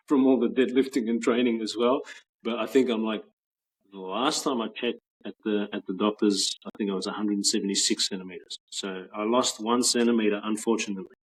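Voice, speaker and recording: A man around forty with an Australian accent, chatting as a guest on a video call in a relaxed, rambling way with frequent restarts. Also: on the low side, breathy edge, rough recording. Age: 40s. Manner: relaxed, rambling with many restarts and soft laughs. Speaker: guest, patent office trainer. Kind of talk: casual interview chat.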